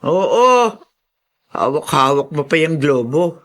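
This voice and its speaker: A woman's voice: low voice, very animated, slow pace, full character voice. A woman playing a stubborn, no-nonsense old Filipino grandmother in a radio drama, with a scolding, matter-of-fact manner. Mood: scolding, fussing.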